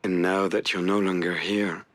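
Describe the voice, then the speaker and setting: A guarded man in a visual novel drama. His voice sits low, and he talks haltingly and defensively. He is protective and self-effacing, keeping his own pain held back. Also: level tone, fairly bright tone. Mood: subdued, reassuring. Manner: hesitant, defensive.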